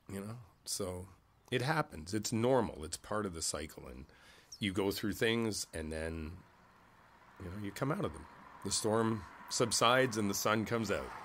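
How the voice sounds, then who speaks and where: Older male neighbor played by a man, lowish voice, easygoing and philosophical, unhurried folksy pauses, reassuring one-on-one ASMR roleplay. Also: fairly bright tone, a little hiss or hum. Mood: concerned, reassuring. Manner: folksy, rambling, pausing, chuckling between thoughts. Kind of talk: ASMR roleplay, one-sided conversational monologue.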